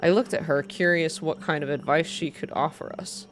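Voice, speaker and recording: A woman's voice: low voice, fairly even intonation, normal speed, a little hiss or hum. A woman reading a narrative story aloud in an ASMR style. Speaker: reader.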